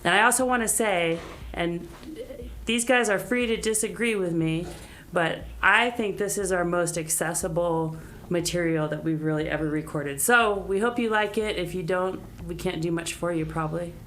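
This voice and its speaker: A woman's voice: on the low side, moderate pace, fairly bright tone. A woman musician chatting casually as an interview guest, with a wry, self-deprecating manner. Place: radio studio.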